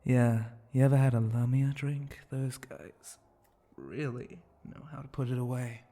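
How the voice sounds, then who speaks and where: Guy doing a flirty spider monster bartender, asmr style, slow n breathy, dark mellow voice, kinda gravelly, almost whispering, teasing. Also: mid-range voice. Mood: relaxed, chatty. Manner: slow, languid, suggestive drawl with pauses and hums. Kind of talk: intimate ASMR roleplay.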